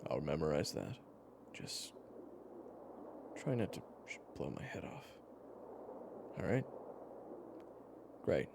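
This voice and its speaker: The gravelly man playing the weary protector in an audio roleplay, spinning wry, halting tales to a companion in a shelter full of background noise. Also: very deep voice, big pitch swings, heavily acted. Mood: wry, coaxing. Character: weary, protective male storyteller survivor, teasing and patient. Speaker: character narrator. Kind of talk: conversational in-character storytelling, audio roleplay. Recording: clearly noisy background ambience.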